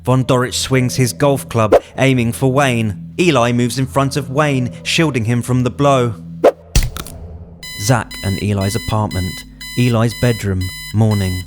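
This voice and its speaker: A man reading scripted screenplay narration in the present tense, as writer and narrator of an audio drama. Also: medium pitch.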